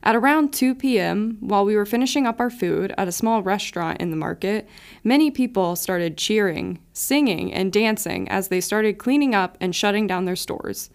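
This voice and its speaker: A woman's voice: medium pitch, moderate pace, creaky. A woman reading scripture and a scripted personal reflection as part of a devotional. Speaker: devotional writer and reader.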